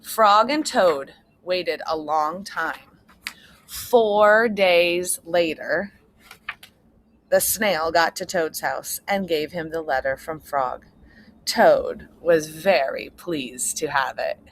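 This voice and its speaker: A woman's voice: deep voice, slow, rough recording. Woman reading a children's story aloud. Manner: simple explanations, checking in with listeners. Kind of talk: read-aloud storytelling with asides to young listeners. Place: outdoors on a front porch. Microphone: computer propped on a chair.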